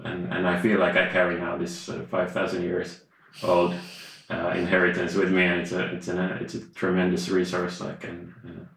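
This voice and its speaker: Man, author in interview; low monotone, halting delivery, very echoey room. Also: gravelly. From Finland. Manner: halting, many restarts and fillers. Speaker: author and researcher. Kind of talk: reflective interview talk.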